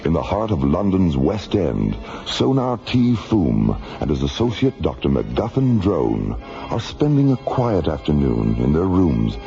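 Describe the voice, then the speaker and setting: A very low-voiced male narrator delivers a mock-grand radio serial with formal weight. His gravelly tone rises and falls dramatically over music on a muffled recording. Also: sing-song, a little slow, few pauses, dark tone, full character voice. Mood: solemn, dramatic. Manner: formal, portentous.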